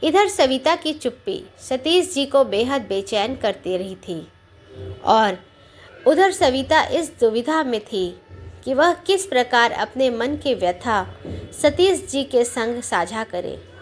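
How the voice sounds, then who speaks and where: A woman with a high voice narrates a sentimental family story. She reads at a slow pace, with measured, deliberate phrasing, as a storyteller working from a script. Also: lively intonation, slight background noise.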